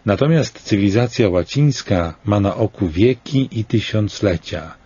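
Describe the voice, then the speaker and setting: Slow, grave older man, dark voice. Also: on the low side, expressive, husky, no echo, muffled recording. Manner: slow, grave and deliberate, with rhetorical questions. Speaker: commentator. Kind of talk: scripted reading of a commentary essay.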